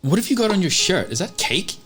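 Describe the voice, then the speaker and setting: A man's voice: high-pitched, very animated, a little fast, fairly bright tone, a little hiss or hum, voice pushed up. A man playing a terse, impatient character who sounds guarded and easily irritated. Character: terse, impatient man searching for his missing sister.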